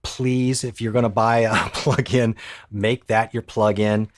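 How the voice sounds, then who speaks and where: A man's voice: on the low side, steady tone, a little slow, no echo. Fields: male, dry close mic, joking chatter. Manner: joking, rambling, frequent restarts. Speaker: co-host of a tech live stream. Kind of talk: casual live stream chat. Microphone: pencil condenser mic.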